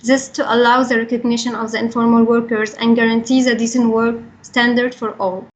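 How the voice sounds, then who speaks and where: Young female speaker, Egyptian accent, higher register, reading a statement carefully and earnestly, slightly dull sound. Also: level tone, fairly quick. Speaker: regional coordinator for a young workers' organisation. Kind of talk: prepared talk, reading statement. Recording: dull, band-limited recording.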